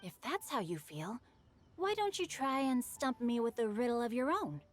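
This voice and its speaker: Refined, lively bookish boy. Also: fairly high pitch, normal speed, music in the background. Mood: gently challenging. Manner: polite, literary phrasing. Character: refined, good-natured bookish boy, gently teasing. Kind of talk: video game cutscene voice acting.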